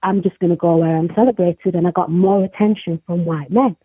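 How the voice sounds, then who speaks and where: A woman's voice: lowish voice, fairly even intonation, brisk, slightly rough. A woman with a South Yorkshire accent calling into a live talk show, chatting casually and laughing often. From South Yorkshire, England. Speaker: caller. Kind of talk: casual chat. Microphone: phone call. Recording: tinny phone line.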